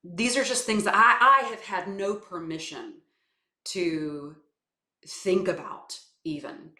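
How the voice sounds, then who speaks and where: A woman from South Carolina with a naturally low voice, giving thoughtful, hesitant interview answers full of fillers and restarts. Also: takes it slowly, sharp tone. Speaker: guest, voice coach and actor. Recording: echoey room.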